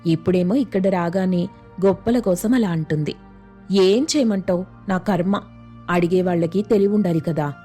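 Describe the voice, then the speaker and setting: A woman's voice: on the low side, moderate pace, dark tone, soft background music, natural voice. A woman playing a weary, pleading married sister caught between relatives in a story. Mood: resigned. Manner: pleading, resigned.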